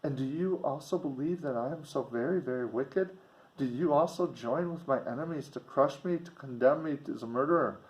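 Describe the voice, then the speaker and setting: A man's voice: medium pitch, fairly quick, darkish tone, pitched above the performer's own voice, natural voice, rough recording. A man voicing a pious, wretched young woman condemned to die in a gothic novel, pleading in anguished, old-fashioned speech. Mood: hurt, pleading.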